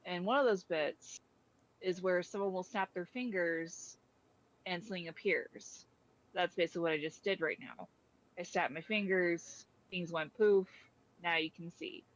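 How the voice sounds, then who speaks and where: Low, dull-sounding woman as a blunt void entity. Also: unhurried, natural voice. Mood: matter-of-fact. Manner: explanatory, casually sweary. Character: blunt, matter-of-fact otherworldly Void entity at home. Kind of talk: improvised comedy skit dialogue. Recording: dull lo-fi microphone.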